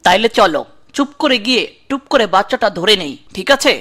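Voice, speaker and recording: A woman's voice: fairly high pitch, expressive, brisk. A woman voicing a bossy, teasing young man in an amateur cartoon, colloquial and Bangladeshi-accented. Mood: cautioning. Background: loud music.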